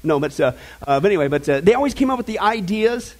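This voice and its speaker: A man with a high voice is preaching a sermon in a church sanctuary. He jokes with the congregation and talks straight to them. His delivery is animated and playful. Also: fast. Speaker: pastor.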